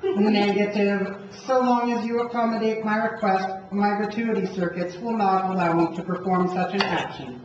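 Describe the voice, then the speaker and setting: A man's voice: sits high, fairly even intonation, moderate pace, noisy background, close to an everyday voice. A man voicing a polite, literal-minded robot in an amateur puppet show, speaking in a stiff, formal, wordy way. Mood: flat refusal, logical. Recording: very echoey, distant, muffled room.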